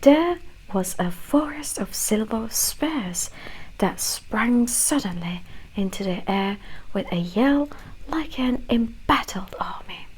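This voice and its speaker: Woman doing asmr story reading, slow gentle whisper, british canadian mix accent, some noise in the background. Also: a little high, expressive, rough recording. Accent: mixed British and Canadian. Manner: gentle, hushed, unhurried delivery.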